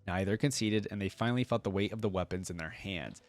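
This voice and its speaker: A man's voice: low voice, fast, faint music behind. A man hosting a reaction video in an informal way, reading text aloud and dropping in short casual asides. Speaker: host of a fan reaction channel.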